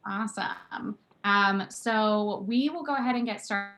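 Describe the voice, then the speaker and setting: A woman hosting an online panel discussion, talking casually with many fillers and quick self-corrections, over a video call. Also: mid-range voice, a bit bright. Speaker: talk show host.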